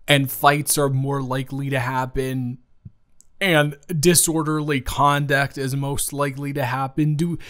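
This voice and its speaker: A man acts out a flustered young male best friend in a romantic audio roleplay. His mid-pitched voice is conversational and hesitant, with a teasing, caring manner. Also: close to an everyday voice.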